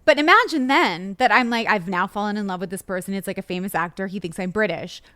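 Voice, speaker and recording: A woman's voice: medium pitch, fast, pushed and bright, dry recording. A woman co-hosting a podcast, talking in a casual, playful way and peppering her speech with "like.". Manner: chatty, playful, hedges with "like". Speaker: podcast co-host. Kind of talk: casual chat.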